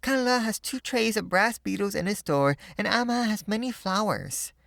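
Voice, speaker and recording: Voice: mid-range voice, expressive, normal speed, gravelly, fairly bright tone, no room sound, studio quality, voice pushed up. A reader voicing a small eager girl from Chinatown who speaks in broken English. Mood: wistful. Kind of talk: storybook read-aloud.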